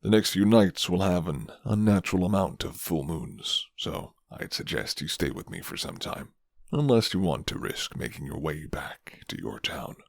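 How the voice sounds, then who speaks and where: A man voicing a gruff, flirty but guarded werewolf in an intimate, murmured ASMR roleplay, with teasing pauses. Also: very animated, no echo, studio quality, exaggerated voice. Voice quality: deep, low and gravelly.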